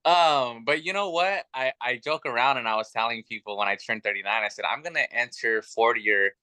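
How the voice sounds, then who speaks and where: A man's voice: medium pitch, a little fast, pushed and bright, clear, resonant tone, no room sound. A man in his thirties, a guest telling his family story in an earnest, chatty way with many fillers. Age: 30s. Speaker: podcast guest. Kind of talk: casual chat.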